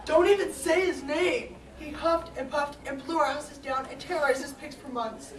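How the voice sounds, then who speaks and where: A high-voiced little storybook pig, fearful and protective acted by a woman. It is heard far from the mic on stage, with audience noise. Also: moderate pace, roomy sound, crowd noise, lightly acted. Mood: alarmed. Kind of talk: youth stage comedy acting.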